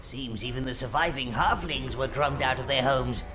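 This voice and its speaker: A man's voice: medium pitch, moderate pace, lightly acted. An actor voicing a fawning old minion advisor who gives sardonic advice to his master. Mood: advising. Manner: sardonic, obsequious asides. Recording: music behind.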